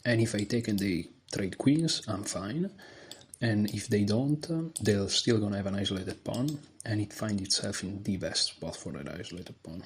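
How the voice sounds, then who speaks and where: Deep voiced guy mumbling chess moves late at night, low and hushed, some mouse clicks in the back. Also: moderate pace, a little hiss or hum. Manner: quiet, low-voiced thinking aloud. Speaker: chess streamer. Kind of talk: live game commentary.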